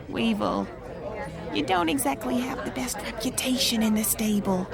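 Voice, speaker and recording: A woman's voice: on the high side, measured pace, creaky. A woman playing a cool, dismissive female pony in an audio drama, speaking in a sardonic, cutting manner. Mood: weary, dismissive. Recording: crowd murmur behind the voice.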